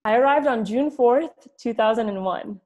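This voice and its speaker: A woman's voice: a little high, normal speed, mellow, highs cut off. A woman in her late twenties, born in Egypt and raised in New York, talking candidly and reflectively as an interview guest, with many "like" fillers. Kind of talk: casual conversation.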